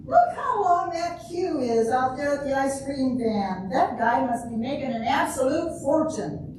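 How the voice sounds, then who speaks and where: An older woman playing a grumpy, cheeky friend on a beach trip in an amateur comedic stage reading, with a deadpan, wisecracking delivery. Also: sits high, a little slow. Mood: wry. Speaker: amateur readers theater performer. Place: large echoey room before an audience. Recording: echoey hall from far off, noisy.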